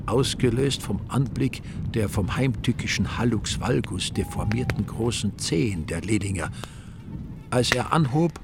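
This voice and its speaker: Low, gravelly Austrian narrator. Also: male voice, background noise. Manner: mock-epic, ironic. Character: wry, ornate male storyteller. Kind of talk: scripted literary narration.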